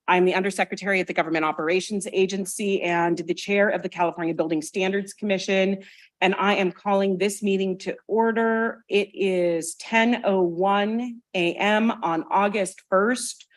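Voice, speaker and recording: A woman chairing a public commission meeting, with a loud voice, friendly and full of ums and restarts. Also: mid-range voice. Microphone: sensitive table microphone held off to the side.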